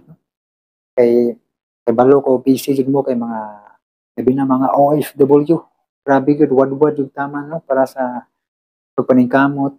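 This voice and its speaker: A man's voice: medium pitch, muffled recording, a little hiss or hum. A man hosting an online radio drama channel, chatting casually and informally to his listeners with frequent tag questions. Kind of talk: casual chat, reading and thanking listener comments.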